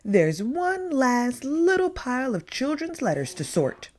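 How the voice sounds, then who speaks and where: A woman acts out a storybook Santa, a big old man who is jolly and kind. The delivery is hearty and booming, with animated swings in pitch and an unrushed pace. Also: measured pace, heavily acted. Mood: kindly. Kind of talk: picture-book character voice.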